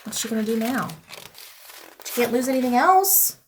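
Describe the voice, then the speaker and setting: A woman reading a picture book aloud expressively, with deliberate dramatic emphasis and pauses. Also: a little high, lively intonation, slight background noise.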